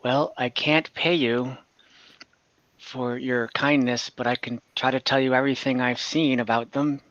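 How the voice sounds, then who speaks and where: A man playing a weary, humble peasant traveler who speaks haltingly and apologetically in a tabletop game. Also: medium pitch, steady tone, fairly bright tone, dry recording, lo-fi sound, close to an everyday voice. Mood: weary, hesitant.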